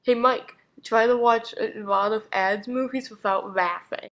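A woman reading fan questions and dares aloud in an animated way for a comic dub. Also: fairly high pitch, a little slow.

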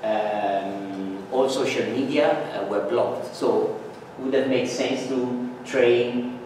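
A man's voice: mid-range voice, takes it slowly, darkish tone, a little hiss or hum. A man in his thirties giving an academic lecture in a seminar room, a non-native speaker who hesitates often, with many ums and restarts. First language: Italian. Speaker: guest lecturer. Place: university seminar room in front of a projector screen. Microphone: far room camera, no mic seen. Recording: echoey room from far off.

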